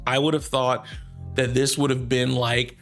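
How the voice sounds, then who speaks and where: A man giving casual, off-the-cuff gaming news commentary as a host, rambling and halting as he goes. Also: mid-range voice, flat delivery, fairly bright tone, faint music behind.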